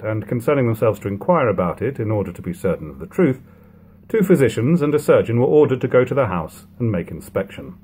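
A man in his thirties giving a measured, even reading of old prose at home on a webcam. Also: medium pitch, breathy edge. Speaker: reader of a classic book. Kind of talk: unhurried audiobook reading. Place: living room at home.

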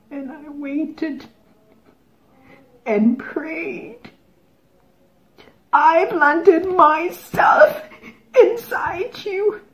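A woman performing a dramatic monologue as an anguished, long-suffering wife, moving between whispers and loud outbursts on a lo-fi recording. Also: high-pitched. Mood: anguished, tearful.

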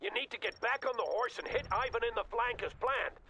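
Gravelly, commanding military officer. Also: male voice, extremely high pitch, fairly quick, noisy background. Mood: stern. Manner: clipped, commanding. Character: stern, decisive male military commander. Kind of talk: scripted game radio orders.

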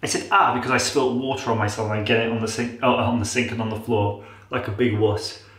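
A man's voice: mid-range voice, fairly even intonation, quick pace, a bit bright. Nasal, chatty man in an echoey bathroom. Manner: chatty, self-mocking, rambling asides. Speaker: vlogger. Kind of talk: casual how-to demo. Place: small tiled bathroom. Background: running tap water.

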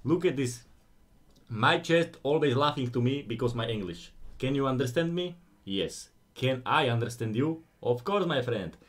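A man's voice: medium pitch, lively intonation, moderate pace. A man in his thirties with a Slovak accent, chatting playfully with his stream in broken English while gaming on a headset mic at home. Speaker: game streamer. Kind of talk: casual live-stream chat. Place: indoor home room.

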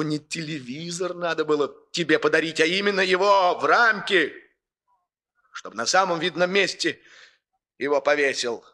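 A man's voice: a little high, vocal fry, pushed and bright, exaggerated voice. A man acting in a 1960s radio play as a stern, moralizing boss, hectoring and emphatic as he scolds the young.

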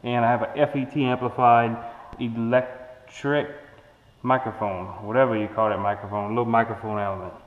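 A young man talks through his homemade electronics demo in a loose, offhand way, pausing to think aloud. His voice sits at a middle pitch. It is picked up by a camera in a tiled living room, and a long reverb trails after him. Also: fairly even intonation, narrow, tinny audio. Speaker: hobbyist electronics builder. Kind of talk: casual demo narration. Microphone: action camera on the room.

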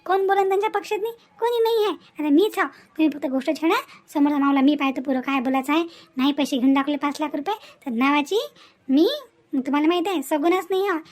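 A woman acts out a scheming young daughter-in-law in a cartoon family drama. The voice is very high, with a Vidarbha Marathi accent, pushing and cajoling with little questioning grunts. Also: quick pace, a little mellow, natural voice. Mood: coaxing, boastful. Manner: insistent, wheedling, punctuated with questioning grunts.

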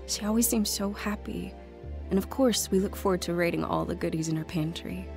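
A grown woman looking back on a lonely childhood. Her voice is on the low side, reflective and measured, in scripted audio drama narration. Music plays faintly behind her. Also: gravelly. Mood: wistful, reflective. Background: music under the voice.

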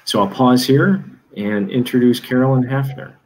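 A man's voice: mid-range voice, moderate pace, a little mellow, low-quality recording. A middle-aged man giving a businesslike facilities briefing over a video call, reading through lists with frequent ums and uhs. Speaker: facilities administrator presenting an update. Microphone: video call webcam.

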